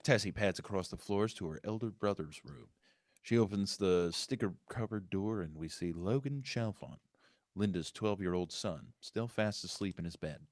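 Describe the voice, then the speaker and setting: A man's voice: low-pitched, normal speed, a little mellow, dry recording. A man reading stage directions as scripted narration at a live table read, occasionally correcting himself.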